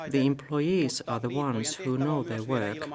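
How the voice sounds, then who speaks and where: A man from Finland with a Finnish accent reading a measured, formal speech at a union congress, stumbling now and then. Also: mid-range voice. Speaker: union delegate. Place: large congress hall. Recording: hall with audience crowd noise.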